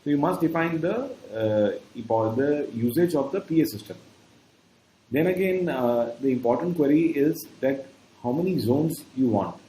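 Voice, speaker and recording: A man's voice: mid-range voice, lively intonation, moderate pace, warm tone. A man with an Indian accent giving an instructional lecture, explaining step by step in a didactic way. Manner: didactic, often checking in with "okay". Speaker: trainer. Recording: roomy echo, faint background noise.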